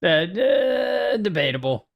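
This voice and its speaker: A man's voice: high voice, very animated, takes it slowly, no room sound, lo-fi sound. A man co-hosting a casual podcast, chiming in with short, dry interjections. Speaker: podcast co-host. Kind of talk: casual reaction chat.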